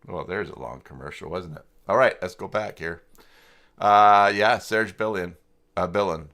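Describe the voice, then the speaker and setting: A man with a fairly low voice hosts a casual live tutorial on website tools. He speaks informally and thinks aloud, often halting mid-sentence. His delivery is that of someone demonstrating on screen while chatting with those watching. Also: pushed and bright.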